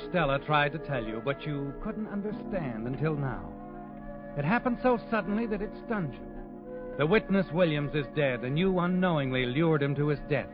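A man's voice: a little high, natural voice. An actor playing an all-knowing narrator of crime tales on 1940s radio, taunting and ominous in his dramatic delivery. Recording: muffled old radio recording. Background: dramatic music.

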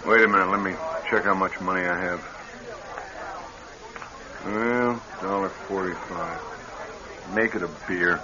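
An actor playing a resentful, weary ex-convict in a radio crime drama, talking in a terse, sardonic way. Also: male voice, low-pitched, fairly even intonation, unhurried, pauses often. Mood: wary, weary. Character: bitter hard-bitten male ex-convict, resentful and weary. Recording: dull, hissy old radio recording.

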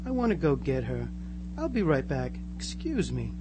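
A man's voice: mid-range voice, big pitch swings, moderate pace, noisy background, close to an everyday voice. A man playing an eerily reassuring, converted small-town teenage boy in a radio drama, earnest and gushing. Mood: serene, reassuring. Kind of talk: live amateur radio drama acting.

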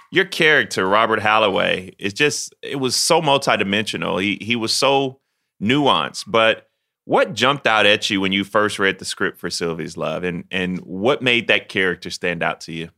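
Male podcast host, bright tone, playful, slangy, casual interview. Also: fairly low pitch, vocal fry.

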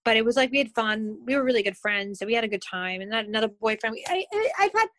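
A woman's voice: on the high side, expressive, fast talker, a bit bright. A middle-aged woman speaking as a guest in a casual chat, hesitant with many ums.

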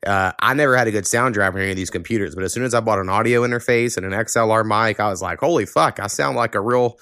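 A man's voice: on the low side, fast, sharp tone, smooth, no echo, clean studio sound. A man hosting a podcast, chatting casually in a blunt, offhandedly profane way. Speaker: podcast host.

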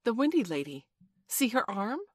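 A woman's voice: mid-range voice, normal speed, no room sound, studio quality, pitched above the performer's own voice, close to an everyday voice. A woman voicing the anxious, awestruck boys of a storybook gang with exclamatory delivery. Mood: amazed. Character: excitable band of young boys, anxious and awestruck.

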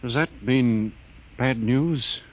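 An actor playing an earnest, excitable scholarly spaceship passenger, sputtering and insistent, in 1950s radio drama style. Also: male voice, medium pitch, slow, lightly acted. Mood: shaken. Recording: noisy old radio broadcast recording.

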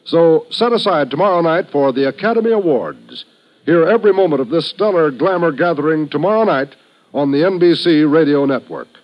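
A fairly high-voiced American man reads as a mid-century radio announcer. His delivery is grand and emphatic. The sound is the dull, narrow tone of an old broadcast. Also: lively intonation, fairly quick. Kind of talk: 1950s radio announcing, scripted.

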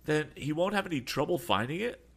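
A man voicing an eager, excited young inventor asking urgent questions. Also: fairly high pitch, brisk, husky, voice pushed up, heavily acted.

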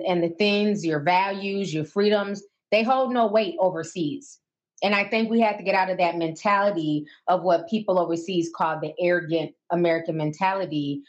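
A woman's voice: fairly low pitch, lo-fi sound. A woman hosting a call-in show, chatting casually and giving listeners advice.

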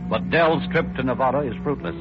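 A man's voice: mid-range voice, a little fast, close to an everyday voice. A man narrating an old-time radio crime drama in a serious, scripted manner. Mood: brisk, serious. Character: brisk, solemn male crime-story narrator. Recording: muffled old radio recording. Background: dramatic music.

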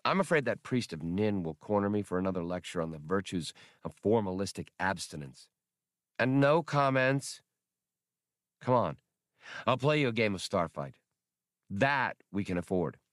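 Playful, quick-witted female companion in a space audiobook, teasing and spirited, read by a man, dry sound. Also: lowish voice, big pitch swings, dry recording. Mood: coaxing.

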